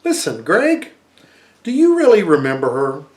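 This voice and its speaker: A man's voice: on the high side, wildly swooping pitch, moderate pace, darkish tone, reverberant, pitched above the performer's own voice. A man reading a storybook voices a challenging, know-it-all young boy with a pressing, accusing manner.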